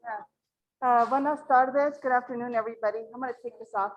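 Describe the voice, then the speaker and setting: Low-voiced woman from Mora. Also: fairly quick, muffled recording, slight background noise. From Mora, New Mexico. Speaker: acequia association representative. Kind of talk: speaking at a community meeting. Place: library community center meeting room.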